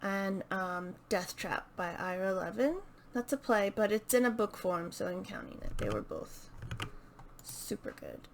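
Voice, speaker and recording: Slow, creaky-voiced woman chatting about books. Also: mid-range voice, animated, a little hiss or hum. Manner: hesitant, thinking aloud. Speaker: book video channel host. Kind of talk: casual unscripted book chat to camera.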